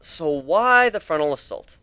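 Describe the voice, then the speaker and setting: A man's voice: on the high side, sing-song, a little slow, sharp tone, clean tone, no room sound, exaggerated voice. A man voicing a cocky, menacing male griffin warrior, taunting and sarcastic, in a dramatic fantasy story reading.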